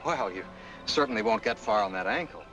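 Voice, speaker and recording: An actor playing an earnest, lovestruck American engineer in a 1940s film noir, speaking in a conversational manner. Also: male voice, fairly low pitch, animated, a little fast, muffled recording, lightly acted. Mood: solicitous. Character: earnest, lovestruck male engineer, sentimental and solicitous. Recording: 1940s film soundtrack, faint music.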